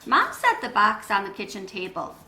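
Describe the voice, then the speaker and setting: A woman reading a children's story aloud in an expressive, emphatic, teacherly style. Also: mid-range voice, normal speed. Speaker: children's read-aloud reader. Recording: roomy echo with background noise.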